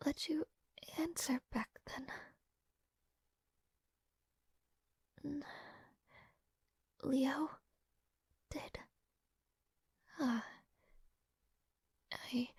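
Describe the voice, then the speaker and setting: A woman playing a frail, gentle young princess in an intimate fantasy audio roleplay, speaking in a breathy, hesitant, softly formal way. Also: sits high, no echo, exaggerated voice. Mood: hesitant, confiding. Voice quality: breathy, whispery.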